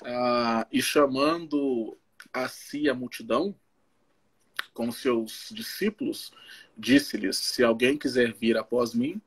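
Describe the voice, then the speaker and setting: A man with a husky voice, speaking informally as a missionary pastor in a halting way, often checking in with his listener. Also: medium pitch. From Belo Horizonte, Brazil. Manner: halting, frequent tag questions to the listener. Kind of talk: informal testimony and Bible teaching. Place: live social media video call.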